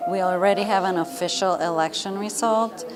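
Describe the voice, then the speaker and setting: A woman's voice: medium pitch, moderate pace. An American woman explaining things as an official spokesperson in a news interview. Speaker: government communications director, interviewee. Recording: busy room chatter behind.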